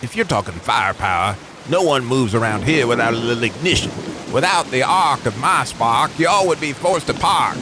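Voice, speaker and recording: A man's voice: medium pitch, expressive, noisy background, lightly acted. A man voicing a cocky, boastful spark plug who taunts in rhyme in a radio drama. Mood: cocky boasting. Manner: boastful rhyming taunts, drawn-out words.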